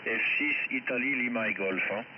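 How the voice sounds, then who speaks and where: A man's voice: medium pitch, moderate pace. Shortwave radio static, dull sound, man, amateur radio operator, casual on-air chat. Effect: shortwave amateur radio transmission. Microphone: heard through a radio receiver. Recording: muffled, static-filled shortwave radio reception.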